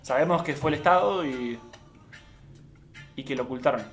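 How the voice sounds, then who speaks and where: A man with an Argentine accent talks informally on a radio panel. His voice is mid-pitched and he gropes for words, with light music behind him. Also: moderate pace. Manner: hesitant, searching for words.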